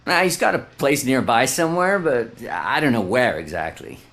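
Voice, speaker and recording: Surly tattoo artist, swooping delivery. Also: male voice, mid-range voice, big pitch swings, moderate pace, a bit bright. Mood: grudging. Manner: sarcastic, defiant, informal. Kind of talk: video game dialogue acting.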